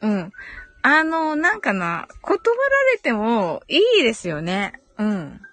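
A woman's voice: mid-range voice, very animated, takes it slowly, a bit bright, dry recording. A woman hosting a casual live audio chat, giggly and chatty, reading out comments and murmuring agreement. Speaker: host of a live audio stream.

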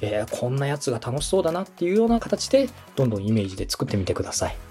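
A man's voice: medium pitch, very animated, very fast, mellow, faint music behind. A man hosting and advising in a polite, encouraging way, explaining things step by step in a conversational coaching style. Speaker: podcast host and production adviser.

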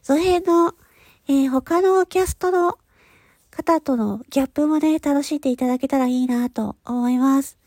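A woman's voice: high voice, moderate pace, darkish tone, dry recording, clean studio sound. A woman hosting her own audio channel, making a casual but polite announcement to listeners in hesitant phrases. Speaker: channel host and voice actor.

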